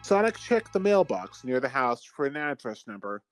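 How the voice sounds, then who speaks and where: A man narrating a story aloud in a dramatic, mock-serious way, with theatrical, drawn-out phrasing. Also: mid-range voice, big pitch swings. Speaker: narrator reading fan fiction aloud with friends. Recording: music behind, deliberately old-fashioned microphone sound.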